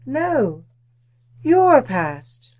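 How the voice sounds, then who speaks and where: A slow, solemn female spirit. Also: high-pitched, very animated, darkish tone, full character voice. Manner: solemn, measured, formal. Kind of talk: old-time radio drama acting.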